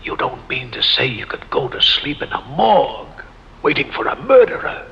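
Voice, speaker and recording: An actor playing a polite, incredulous, secretly treacherous gentleman with mannered 1950s radio drama delivery. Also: medium pitch, very animated, normal speed, gravelly, slightly rough, in a large hall, exaggerated voice. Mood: composed. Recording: dull, noisy old radio recording.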